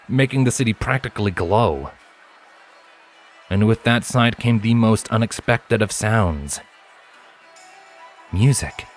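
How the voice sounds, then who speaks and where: A man reading a story aloud as scripted narration. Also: fairly low pitch. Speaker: fan fiction narrator.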